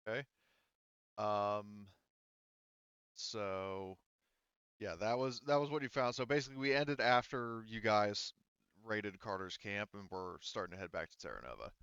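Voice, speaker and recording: A man running a tabletop game as game master, explaining casually in a halting, stop-and-start way. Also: fairly low pitch, fairly bright tone, clean tone, no echo, dull audio.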